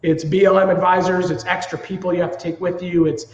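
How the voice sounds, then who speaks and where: A man's voice: sits high, little pitch movement, quick pace, roomy sound, long reverb tail, muffled recording. A middle-aged man from Ontario, Canada, chatting as an interview guest in a rambling, easygoing way, often repeating his words. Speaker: guest, TV producer. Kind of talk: casual interview chat.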